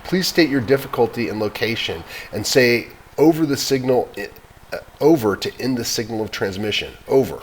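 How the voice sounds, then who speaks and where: A man's voice: medium pitch, expressive, normal speed, a little hiss or hum, pitched above the performer's own voice, lightly acted. A man voicing an adult male radio operator, speaking in clipped, procedural radio talk. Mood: official.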